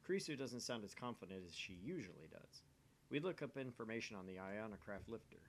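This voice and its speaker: A man's voice: lowish voice, sing-song, fast talker, a little hiss or hum. A man hosting a let's play, reading visual novel narration aloud and chatting casually in a self-deprecating way.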